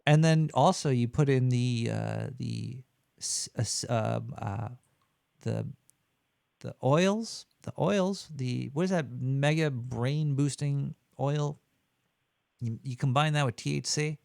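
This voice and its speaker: A man's voice: medium pitch, slow, vocal fry, darkish tone, clear, resonant tone, no echo, studio quality. A man hosting a show, chatting casually in a cynical, rambling way and swearing freely. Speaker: radio show host. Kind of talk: casual chat.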